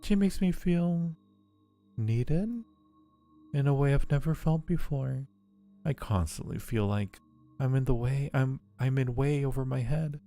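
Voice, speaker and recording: A man's voice: medium pitch, unhurried, vocal fry, warm tone, soft background music. A man voicing a timid, self-doubting female vampire in a visual novel, confiding hesitantly and anxiously. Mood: smitten, unsure. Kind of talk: visual novel inner monologue.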